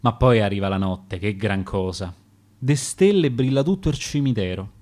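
A man's voice: fairly low pitch, animated, moderate pace, darkish tone. A middle-aged man with a Roman accent, reading his own rhymed dialect poetry in a rhythmic, declaiming way.

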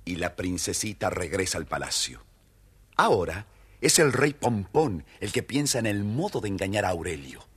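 Un hombre que narra un cuento infantil de forma teatral y juguetona, con voz ronca y velada. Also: male voice, low voice, very animated, moderate pace, fairly bright tone, slightly rough. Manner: theatrical, playful. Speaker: storyteller narrator. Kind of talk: expressive scripted children's story narration.

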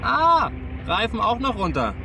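An actor playing a gruff, stern traffic policeman in a radio drama, speaking in a curt, official way. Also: very high voice, huge pitch swings, measured pace, distant mic, heavily acted. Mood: stern, sarcastic. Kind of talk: naturalistic radio drama dialogue. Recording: off mic, loud music and traffic.

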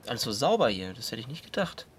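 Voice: medium pitch, very animated, fast talker, a little hiss or hum, close to an everyday voice. Actor as cocky teenager, callous, boastful, natural radio drama dialogue. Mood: offhand.

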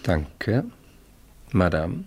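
Charming, conceited young nobleman for radio play; gallant, animated male delivery. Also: deep voice, very slow, slightly rough, full character voice. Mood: suave, evasive. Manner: gallant, courtly, suave. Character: vain, charming young pleasure-loving marquis. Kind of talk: 1970s German radio play acting.

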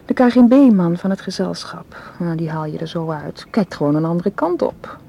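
Anxious, defensive woman for radio drama; low, soft-toned, hesitant delivery, faint noise behind. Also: lively intonation, normal speed, creaky. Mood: troubled, hesitant. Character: anxious, guarded woman distrustful of her male suitor. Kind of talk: Dutch radio play acting.

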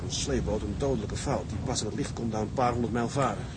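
An actor playing an earnest, dutiful young first mate in a radio drama, measured, grave and respectful. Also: male voice, natural voice. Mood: grave explaining. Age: young adult. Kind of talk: dramatic radio play acting. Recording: engine rumble behind the voice.

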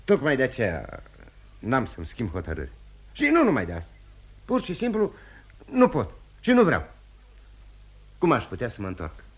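An actor playing a dissolute, self-loathing husband in radio theatre, halting and repeating words theatrically. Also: male voice, medium pitch, wildly swooping pitch, husky, exaggerated voice. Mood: bitter, self-loathing. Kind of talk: mid-20th-century radio theatre acting. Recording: old radio drama, music behind.

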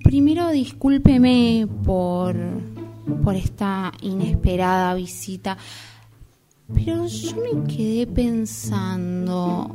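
A woman playing an earnest, talkative long-time therapy patient in an amateur radio play, with a Rioplatense Argentine accent and a halting, polite, apologetic manner. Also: fairly high pitch, full character voice. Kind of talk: amateur radio theatre acting.

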